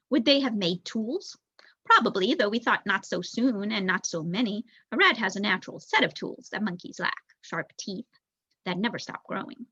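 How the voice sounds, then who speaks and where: A young woman voicing a thoughtful, bookish male rat elder telling a storybook history in a measured, reflective way. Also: mid-range voice, fairly bright tone, breathy edge, dry recording. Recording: dull lo-fi recording.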